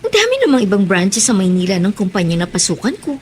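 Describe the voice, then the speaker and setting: An actress with a Filipino accent playing an exasperated, excitable young office worker grumbling in a radio drama. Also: female voice, medium pitch, quick pace, breathy edge. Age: young adult. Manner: animated, grumbling. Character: exasperated, excitable young woman accountant, complaining commuter.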